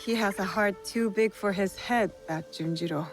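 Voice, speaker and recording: A resolute young woman played by an actress in a historical game cutscene. She speaks in a measured, probing manner at a middle pitch, calm and firm, with music underneath. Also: close to an everyday voice. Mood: gentle, firm. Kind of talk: video game cutscene dramatic acting. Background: background music.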